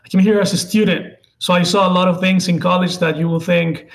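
A man in his twenties with a Venezuelan accent, a native Spanish speaker, answering interview questions haltingly as he searches for words. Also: high voice, steady tone. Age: 20s. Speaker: interview guest, political contributor.

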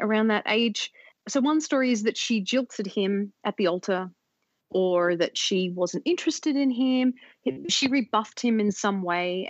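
A woman's voice: mid-range voice, no room sound. Woman, podcast host, author, storytelling, dry dull recording. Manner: storytelling, fast with frequent restarts. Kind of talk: casual chat. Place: home studio in Colorado.

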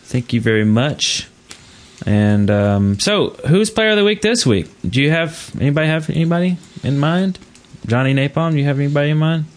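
A man's voice: fairly high pitch, animated, moderate pace. A man hosting a podcast in a casual, chatty style. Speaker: podcast host.

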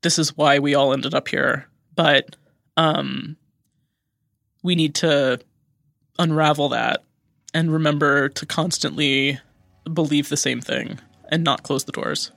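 Impassioned woman, crisp bright voice. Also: low-pitched, steady tone, takes it slowly, long pauses, no echo, studio quality. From Idaho, USA. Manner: impassioned, emphatic. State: tired, on edge. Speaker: guest commentator. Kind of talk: podcast interview.

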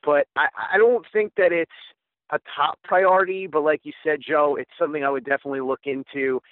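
A man with an American accent discussing sports as a podcast co-host, weighing both sides in a measured way. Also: on the high side, steady tone, dry recording. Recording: thin phone-like line, dry.

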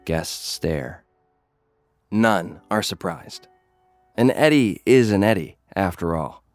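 A man's voice: sits low, no echo. A man narrating an audio drama in the first person as a cocky, jaded young influencer who fights, with a brooding, menacing delivery. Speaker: narrator and lead character of an audio fiction.

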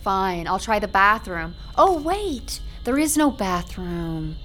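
A trapped young woman in a horror radio play, biting and exasperated, really animated, faint music behind. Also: mid-range voice, slow. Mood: sarcastic, exasperated. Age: young adult. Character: sarcastic, frantic young woman trapped with her partner. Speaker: actress in audio drama. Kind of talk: modern horror radio drama acting.

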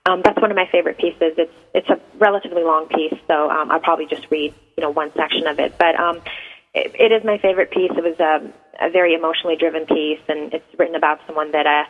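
A woman's voice: low voice, fairly even intonation, quick pace. A woman in her thirties from Iran, a native Persian speaker, answering radio interview questions hesitantly and reflectively, with many ums. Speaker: guest poet. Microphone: phone. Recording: tinny phone line.

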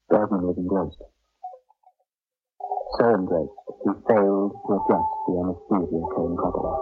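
A man playing the grave narrator of a science fiction radio drama, solemn, dramatic and measured. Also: low voice, husky. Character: grave storyteller of a science fiction horror tale. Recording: dull old radio recording.

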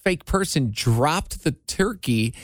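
Woman, low-to-mid pitch, very lively intonation, talk show co-host, dry close sound, casual banter. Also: slow pace, no room sound.

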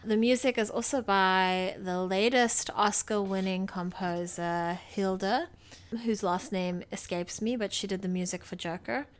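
A woman with a smooth, clean voice at a medium pitch co-hosts a casual review show. She explains things loosely and informally, thinking aloud as she goes. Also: steady tone, measured pace. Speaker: movie podcast co-host.

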